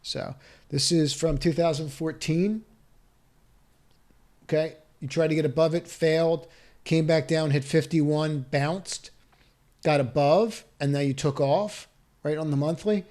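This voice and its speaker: A man's voice: on the high side, fairly even intonation, a little slow, long pauses, darkish tone. A man walking through stock charts on a screen share, thinking aloud with cautious advice, restarts and rhetorical questions. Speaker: stock market analyst.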